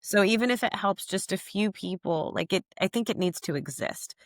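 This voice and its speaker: A woman's voice: medium pitch, expressive, normal speed, no room sound, clean studio sound. A young woman founder answering interview questions thoughtfully, often saying "like" and acting out her own past thoughts. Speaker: app founder, guest.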